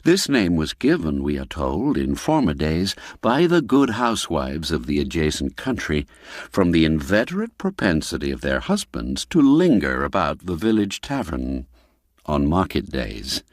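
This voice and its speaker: A man narrating a classic radio story in a measured, deliberate storytelling manner. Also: deep voice, no echo. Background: faint background music.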